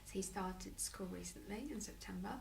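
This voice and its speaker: A woman's voice: mid-range voice, fairly even intonation, moderate pace, creaky, darkish tone. A Polish woman, a parent being interviewed, answering casually with many hesitations and restarts. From Poland. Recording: echoey room, mic at a distance, faint noise.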